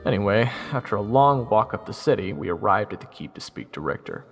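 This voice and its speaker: Low, gravelly, wry young soldier played by a man. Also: big pitch swings, brisk, faint music behind. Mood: wry, weary. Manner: wry, exasperated, casual first-person storytelling. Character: Xander, weary sarcastic young male city soldier telling his story. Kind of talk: audio roleplay narration and dialogue.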